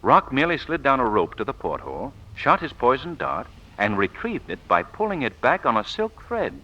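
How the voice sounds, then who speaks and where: An actor playing a suave, confident, teasing gentleman sleuth, gently condescending, in 1940s radio drama style. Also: mid-range voice, wildly swooping pitch, moderate pace, exaggerated voice. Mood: amused, confident. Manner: smooth explaining, gently condescending. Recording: muffled vintage radio broadcast.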